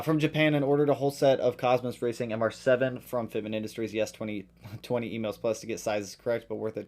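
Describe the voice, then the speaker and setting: A man hosting a live stream, reading out viewer questions and answering them in a loose, chatty way. He greets regulars with sudden loud, high bursts of excitement. His voice sounds a little worn after a long session. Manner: reads viewer comments aloud and answers them in a relaxed, chatty way; greets viewers loudly with sudden jumps in pitch. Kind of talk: casual live stream Q&A about cars.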